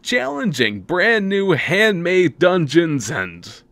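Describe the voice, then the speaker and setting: A man's voice: high-pitched, very animated, takes it slowly, fairly bright tone, dry recording. A man reading a scripted game preview narration with theatrical, wry, emphatic phrasing. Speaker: video game reviewer and narrator.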